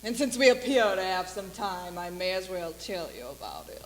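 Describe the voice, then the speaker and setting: A woman playing a brash female Hollywood agent in a dramatic stage monologue, speaking deliberately with long pauses. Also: fairly low pitch, animated, a little hiss or hum, natural voice, low-quality recording. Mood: wistful. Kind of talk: theatrical dramatic monologue for speech competition.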